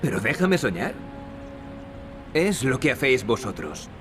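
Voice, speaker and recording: A man's voice: medium pitch, very animated, unhurried. A man with a Castilian Spanish accent playing a cocky, sarcastic young adventurer in a video game, wry and teasing. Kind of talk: video game acting, European Spanish dub. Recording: loud music behind the dialogue. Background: loud game music.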